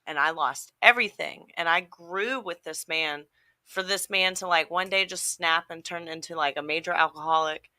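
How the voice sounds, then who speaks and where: A woman's voice: lowish voice, normal speed, pushed and bright, no room sound. A woman telling a personal story casually on a panel, aggrieved and peppering it with "like". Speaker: panel guest.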